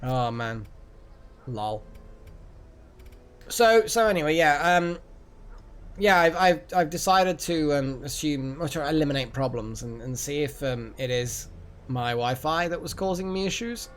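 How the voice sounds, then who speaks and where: A man's voice: on the high side, animated, unhurried, pauses often, smooth. A man hosting a live game stream, chatting casually and rambling as he thinks aloud. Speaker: game livestream host. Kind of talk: casual live gaming chat.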